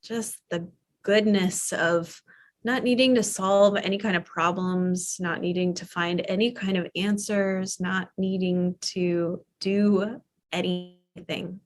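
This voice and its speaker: A woman yoga teacher leads a guided relaxation in an unhurried, gentle voice. She talks very slowly, leaving long pauses between soft, soothing phrases. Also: lowish voice, fairly even intonation. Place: live online or studio class.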